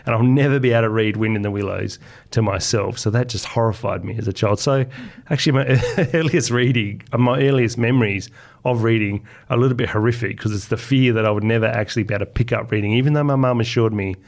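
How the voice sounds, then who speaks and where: A man's voice: medium pitch, fast, creaky. A man chatting casually as an interview guest, thinking aloud as he recalls memories. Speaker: children's author, podcast guest.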